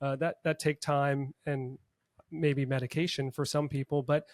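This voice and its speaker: A man's voice: medium pitch, level tone, moderate pace, no echo, studio quality. A man hosting a casual interview podcast in an upbeat, enthusiastic manner. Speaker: podcast host; orthodontist.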